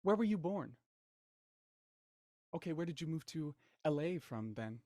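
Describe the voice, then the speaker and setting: An actor playing a smug, menacing villain in an audio drama, mocking, theatrical and condescending in manner. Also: male voice, fairly high pitch, expressive, a little slow, a little mellow, dry recording, studio quality. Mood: cruel, commanding. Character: smug, menacing male villain, cold culprit and puppet-master writer.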